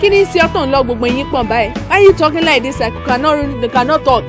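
A woman's voice: high-pitched, lively intonation, rapid-fire, music in the background. A woman with a Nigerian accent playing an exasperated drink seller with a blunt, scolding manner in a comedy film. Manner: blunt scolding, mixing Pidgin and Yoruba.

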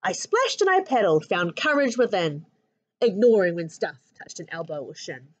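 A woman in her thirties with a New Zealand accent reads a children's picture book aloud with an expressive, sing-song rhyming delivery. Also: on the low side, moderate pace, lo-fi sound. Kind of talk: storybook read-aloud for children. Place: living room at home. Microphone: webcam at arm's length.

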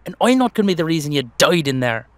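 A man's voice: a little high, very animated, quick pace, fairly bright tone, no echo. A man playing a protective, brotherly dullahan with an Irish accent, reassuring and colloquial in an audio drama. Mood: protective, affectionate.

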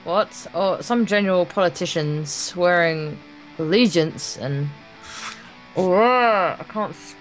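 A woman's voice: on the low side, slow pace, faint music behind, low-quality recording. A woman co-hosting a let's play, reading game text aloud with casual, playful banter. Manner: playful, sweary, stumbles when reading.

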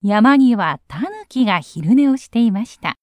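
A woman narrating a children's story in an expressive storytelling style. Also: medium pitch, moderate pace, no room sound.

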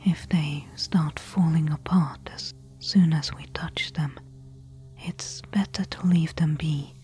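Woman doing careful eager temple explorer for asmr roleplay, hushed mellow voice, a bit low, deliberate. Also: fairly even intonation. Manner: hushed, slow, deliberate whispering. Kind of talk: soft-spoken ASMR audio roleplay.

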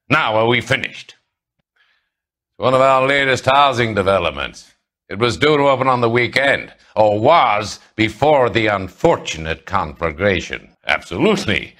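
An actor playing a condescending middle-aged American property tycoon in a 1940s crime video game, smooth-talking and self-assured. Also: male voice, fairly low pitch, sharp tone, exaggerated voice.

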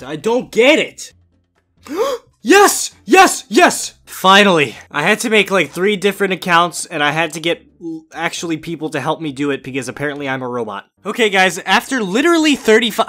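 A young man giving energetic, exasperated gameplay commentary as a gaming YouTuber, with loud outbursts. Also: sits high.

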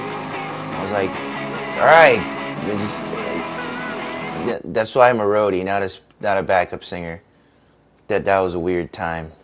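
A man's voice: deep voice, natural voice, rough recording. A man playing an awkward, reluctant band roadie, talking hesitantly and casually in a mockumentary interview.

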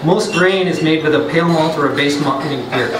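A man's voice: a little high, brisk, recorded from a distance, noisy background. A man, a brewer, giving a chatty science talk to a pub audience, with joking asides and frequent restarts. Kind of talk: casual lecture to a pub audience. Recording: roomy, off-mic, loud background.

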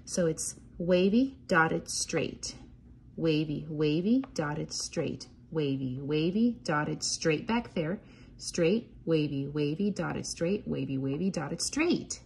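Low-voiced woman teaching children, noisy recording. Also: expressive, takes it slowly, gravelly, darkish tone. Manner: encouraging, questioning, chanting patterns aloud. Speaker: teacher reading a picture book to children. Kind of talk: children's read-along lesson. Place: indoors at a table.

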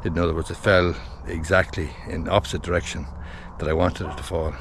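A man's voice: low voice, little pitch movement, a little fast. A man in his sixties from Ireland, filming himself in his garden and telling a rambling story that keeps correcting itself. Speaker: vlogger. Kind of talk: casual selfie vlog. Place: outdoors in a garden by a house. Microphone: phone camera at arm's length. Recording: noisy outdoor phone recording.

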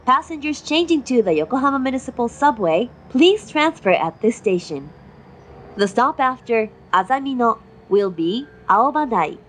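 Slow, measured woman train announcer. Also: on the high side, animated. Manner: formal, measured. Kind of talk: scripted railway announcement. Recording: noisy onboard train recording. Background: train running noise.

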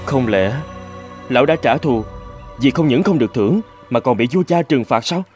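A man's voice: medium pitch, lively intonation, normal speed, darkish tone, soft background music, natural voice. A man voicing a young prince who searches urgently and earnestly in a cartoon fairy tale. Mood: suspicious. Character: young prince, anxious and determined.

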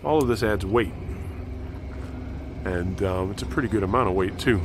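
A man's voice: lowish voice, normal speed, background noise. A man in his forties, a truck driver vlogging, explaining things step by step to viewers while filming on a phone at arm's length, first outdoors by his truck and then in the cab. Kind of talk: casual explanatory vlog. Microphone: handheld phone at arm's length.

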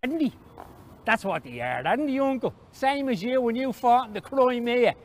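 A man's voice: very high-pitched, animated, normal speed, a little hiss or hum, pitched above the performer's own voice. A man voicing an inquisitive, persistent young Irish boy with an eager, questioning manner, in a story reading. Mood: insistent, puzzled.

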